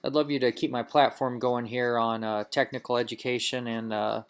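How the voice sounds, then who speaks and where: A man giving an informal instructional talk, telling anecdotes in an animated, conversational way as the host. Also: mid-range voice, normal speed. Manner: animated storytelling, acting out dialogue. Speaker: speaker and channel host. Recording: roomy echo, distant mic, faint noise.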